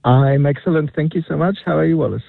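Polish-accented male caller, gravelly, dry close sound. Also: on the high side, fast talker, no room sound. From Poland. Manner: chatty, laughs often, many fillers. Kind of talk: casual radio chat. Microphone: phone. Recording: tinny phone line.

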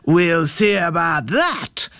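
A woman voicing a big menacing male bear in a storybook, growling and drawing the words out slowly. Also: lowish voice, lively intonation, creaky, a bit bright, no echo, studio quality, full character voice. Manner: slow, drawn-out.

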